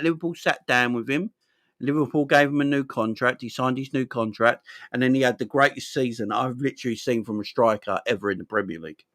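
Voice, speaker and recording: A man's voice: medium pitch, no room sound. Rambling man, dry close sound. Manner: rambling, animated, sweary. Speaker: football channel host. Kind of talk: casual football chat.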